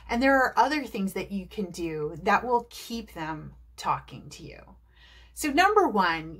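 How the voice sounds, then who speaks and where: A woman's voice: medium pitch, fairly bright tone. An American woman from New Jersey, an English teacher who talks viewers through tips in a chatty, encouraging way. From New Jersey, United States. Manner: chatty, encouraging, asks rhetorical questions. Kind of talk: video lesson to camera.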